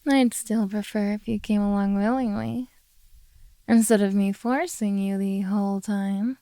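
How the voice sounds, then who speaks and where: A woman voicing a possessive, doting yet menacing beast-girl captor in a soft, coaxing ASMR roleplay. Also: mid-range voice, steady tone, no echo, natural voice. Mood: coaxing, soothing. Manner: slow, coaxing, quietly domineering.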